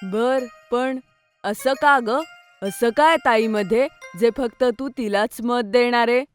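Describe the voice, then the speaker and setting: A woman's voice: on the high side, takes it slowly, faint music behind. A woman playing a sensible, principled young schoolboy in a children's cartoon. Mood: curious. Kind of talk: Marathi children's cartoon dialogue.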